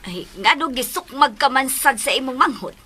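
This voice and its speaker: A stern, scolding figure in Cebuano radio drama. Her high, bright voice swings with animation. She is accusing and no-nonsense, full of moral outrage. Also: animated, moderate pace, breathy edge, exaggerated voice. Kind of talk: melodramatic Filipino radio drama acting.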